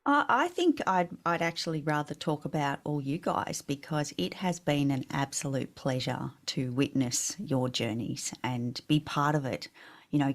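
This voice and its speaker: Low-voiced bubbly woman in her forties. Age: 40s. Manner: bubbly, effusive, laughs often. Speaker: business mastermind leader. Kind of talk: casual video call chat. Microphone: video call.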